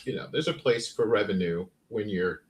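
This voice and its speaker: A man's voice: lowish voice, moderate pace. A man co-hosting a casual livestream chat, telling stories animatedly and acting out past conversations. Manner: animated storyteller who acts out past conversations. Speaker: podcast co-host.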